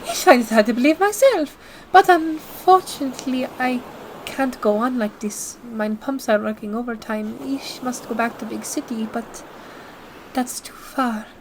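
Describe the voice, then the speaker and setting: A woman's voice: a little high, big pitch swings, a little slow, a little hiss or hum, low-quality recording. A woman voicing a female ocean liner who speaks with a thick German accent and mixes German words into her speech. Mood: weary.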